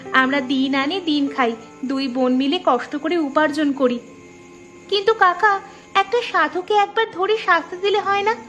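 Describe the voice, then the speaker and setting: Woman playing a very shrill-pitched young village woman. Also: normal speed, fairly bright tone, highs cut off. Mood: earnest, indignant. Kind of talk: cartoon story dubbing. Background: background music.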